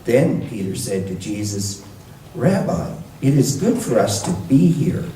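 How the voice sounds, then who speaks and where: A man reading scripture to a church congregation in a measured, deliberate way, recorded with a roomy echo from a distant mic. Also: lowish voice, very animated, mellow, slight background noise. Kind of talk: scripture reading with brief introduction.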